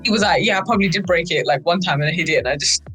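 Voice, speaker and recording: A woman's voice: on the low side, rapid-fire, soft background music, poor audio. A young British woman telling personal anecdotes in a casual, chatty way, peppered with "like" and "right?". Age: young adult. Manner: animated, quotes people in acted voices, frequent "like" and "right?". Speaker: youth board podcast speaker.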